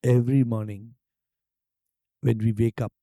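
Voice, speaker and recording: Very mellow, slow Indian man guiding meditation. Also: mid-range voice, no echo, studio quality. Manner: calm, hushed, deliberate phrasing with long pauses. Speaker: meditation teacher leading a retreat session. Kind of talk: guided meditation.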